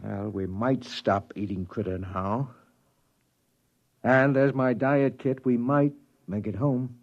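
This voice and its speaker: A man's voice: mid-range voice, takes it slowly. A man playing a spaceship crewman who recounts a doomed expedition in 1950s radio drama style. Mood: reasoning. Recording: dull vintage radio recording, music behind.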